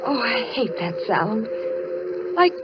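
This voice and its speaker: A woman's voice: a little high, sing-song, slow pace. An actress playing a nervous, genteel woman in an old radio drama, hesitant and fretful. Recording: muffled old radio, music behind.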